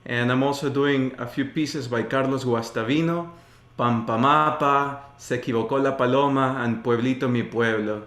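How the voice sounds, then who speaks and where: A man's voice: medium pitch, a bit bright. A man in his twenties from Ecuador, effusive and heartfelt in casual group conversation, in a roomy, echoey recording. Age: 20s. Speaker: baritone voice student, podcast guest.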